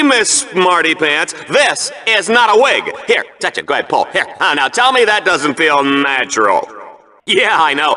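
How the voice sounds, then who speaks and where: An actor playing a smug, vain, bloodthirsty TV arena commentator in a video game, with a hammy, theatrical announcer delivery. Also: male voice, high voice, pushed and bright, exaggerated voice. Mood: vain, defensive. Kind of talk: bombastic game-show sports commentary.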